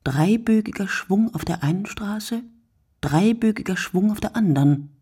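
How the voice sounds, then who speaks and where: An actress playing a weary, observant woman in a radio play monologue, speaking in measured, short phrases with a husky, breathy voice that often drops to near whisper. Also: medium pitch, lively intonation, warm tone, dry recording, clean studio sound. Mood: musing. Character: weary, observant woman watching early-morning street from her window. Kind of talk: literary radio play monologue, scripted narration.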